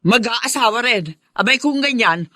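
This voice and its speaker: An actress playing a stern, suspicious, nagging mother in a radio drama, scolding with sharp questions. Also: fairly high pitch, animated, moderate pace, no echo, clean studio sound. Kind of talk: Filipino radio drama acting.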